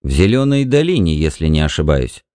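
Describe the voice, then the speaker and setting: A man's voice: a bass voice, big pitch swings, fairly quick, darkish tone, no echo, pitched below the performer's own voice. Man acting a stern, jealous, domineering husband. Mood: controlled, suspicious. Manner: irritable, demanding, interrogating.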